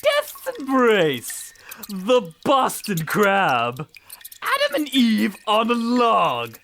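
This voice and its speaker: A woman's voice: mid-range voice, wildly swooping pitch, drawn-out words, fairly bright tone, exaggerated voice. A woman playing a menacing, grandiose Rat King, a talking rat monster, in a comedic horror radio play. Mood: ominous, grandiose. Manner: grandiose, villainous, swooping.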